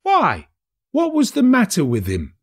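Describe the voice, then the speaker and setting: A man voicing inquisitive, gossiping city gentlemen with idle callousness in a storybook reading. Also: extremely high pitch, wildly swooping pitch, unhurried, pitched above the performer's own voice, full character voice. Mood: curious.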